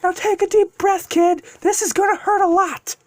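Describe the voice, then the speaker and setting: A man's voice: extremely high pitch, big pitch swings, normal speed, a little mellow, no room sound, studio quality, voice pushed up. A man voicing a blunt, impatient dragon mentor who scolds and commands in an amateur storytelling voice. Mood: fierce, bossy.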